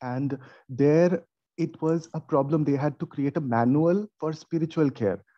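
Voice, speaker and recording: A man with an Indian accent giving academic comments and questions in a halting, thinking-aloud manner at an online conference. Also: fairly high pitch, no echo. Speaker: conference panel audience questioner. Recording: muffled lo-fi online call.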